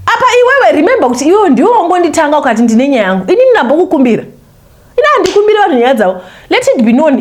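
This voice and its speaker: A woman from Zimbabwe, a host who chats animatedly with viewers and then reads a confession aloud. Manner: animated, talks straight to viewers, voice leaps high when scolding. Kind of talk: chatty intro, then reading a confession aloud.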